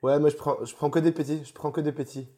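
A man's voice: on the high side, fairly even intonation, fast talker, dark tone. A young man from Italy, a chef, answering interview questions in a rambling, self-deprecating way with many restarts. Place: home, remote call.

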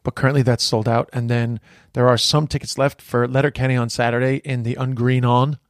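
A man's voice: mid-range voice, flat delivery, a little fast, no room sound, studio quality. A man in his forties with an American accent hosting a podcast in casual chat, rambling with frequent restarts. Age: 40s. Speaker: podcast host.

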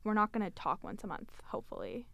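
A woman's voice: mid-range voice, very animated, quick pace, vocal fry, pushed and bright. A young woman co-hosting a podcast, chatting casually and animatedly, peppering her talk with "like" and laughing often. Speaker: podcast co-host. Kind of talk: casual group chat.

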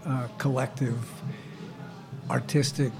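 A man's voice: medium pitch, level tone, slow pace, vocal fry, music in the background. A man interviewing guests, asking his questions hesitantly with restarts.

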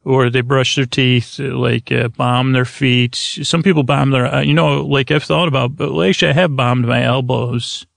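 A man with a rusty, gravelly voice hosts a sleep podcast, delivering a meandering, halting, comedic bedtime monologue that keeps interrupting itself. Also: medium pitch, steady tone, fairly bright tone, no room sound, studio quality. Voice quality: gravelly, rusty-sounding creak.

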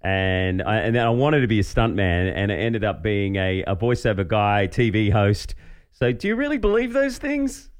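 A man's voice: fairly low pitch, lively intonation, normal speed. A man with an Australian accent hosting a podcast in an informal, self-mocking, chatty way. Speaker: podcast host; professional voiceover artist. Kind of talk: casual interview chat.

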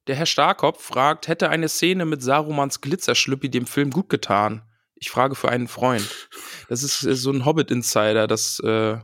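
A man's voice: medium pitch, moderate pace, fairly bright tone, no echo, clean studio sound. A man co-hosting a casual podcast, slightly nasal and stuffed up from a cold, who laughs a lot and reads out listener messages. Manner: laughs often, playful banter. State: has a cold, stuffy nose, sore throat. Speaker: podcast co-host.

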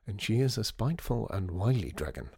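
A man voicing a shrewd former store owner who talks in a measured, knowing, slightly theatrical way. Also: lowish voice, very animated, darkish tone, slightly rough, no echo, studio quality, exaggerated voice. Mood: wry.

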